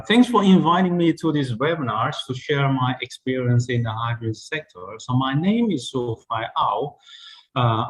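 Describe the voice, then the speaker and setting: A man's voice: mid-range voice, low-quality recording. A man, giving a webinar talk about his career in a conversational way, with frequent fillers and restarts. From Hong Kong. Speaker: invited speaker. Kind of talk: webinar presentation.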